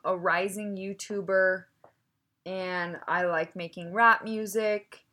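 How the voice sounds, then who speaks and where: Giggly young woman, bright voice. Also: on the low side. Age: 20s. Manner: giggly, rambling with many fillers. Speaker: podcast co-host. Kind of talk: casual chat. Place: bedroom. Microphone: single shared microphone.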